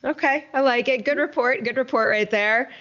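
A woman's voice: high voice, moderate pace, fairly bright tone, highs cut off. A woman hosting a casual entertainment news show, chatting in an enthusiastic, effusive way into a studio microphone. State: a little sleepy. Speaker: show host.

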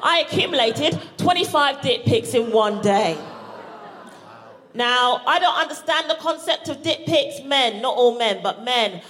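A woman from Barbados performing a live stand-up comedy set, punchy and playful, shouting out her punchlines. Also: sits high, animated, a little slow. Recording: live stage recording with audible background noise.

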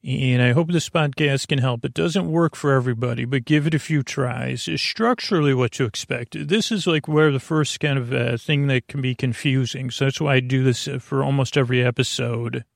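A man talks in a very creaky, fry-laden voice at a middling pitch, recorded dry and close. He hosts a bedtime podcast and meanders through his thoughts, halting, doubling back and repeating himself. His delivery is gently goofy, made to keep someone company as they drift off. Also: fairly even intonation, no echo. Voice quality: creaky, gravelly voice. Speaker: podcast host. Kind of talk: rambling bedtime monologue to help listeners sleep.

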